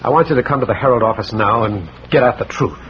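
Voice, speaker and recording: A man's voice: mid-range voice, quick pace, close to an everyday voice. An actor playing an anxious, aggrieved father protesting formally in a 1940s radio mystery. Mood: uneasy, insistent. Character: indignant, anxious father protesting his innocence. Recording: noisy muffled vintage radio recording.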